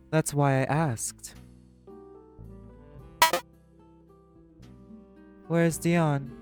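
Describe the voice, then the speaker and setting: A man playing an apathetic, guarded socialite in a crime syndicate, speaking in a measured, deliberate way in an audio drama. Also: mid-range voice. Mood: wary, startled. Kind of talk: dramatic audio roleplay acting.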